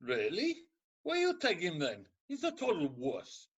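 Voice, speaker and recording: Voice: medium pitch, wildly swooping pitch, unhurried, breathy edge, highs cut off, full character voice. An actor playing a scornful, impatient counsellor in a comedic radio play, speaking with sarcastic exasperation. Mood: mocking, exasperated. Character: scornful, impatient, unsympathetic ancient Greek counsellor.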